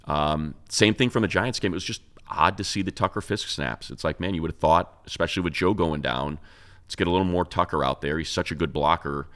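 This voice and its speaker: A man's voice: sits low, fairly quick, fairly bright tone. A man co-hosting a sports talk show, giving casual, conversational football analysis and thinking aloud as he goes.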